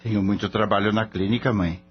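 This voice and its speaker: A man playing a gentle, easygoing young veterinarian and devoted son in a radio soap opera, with a husky voice. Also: lowish voice, steady tone, fairly quick, lightly acted. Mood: earnest. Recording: dull lo-fi audio with music behind.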